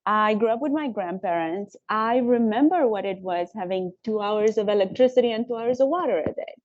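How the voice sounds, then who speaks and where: A woman from Romania, telling personal stories on a panel in an animated way. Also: medium pitch, fairly quick, narrow, tinny audio. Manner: warm, animated storytelling with frequent laughs. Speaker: company founder on a panel.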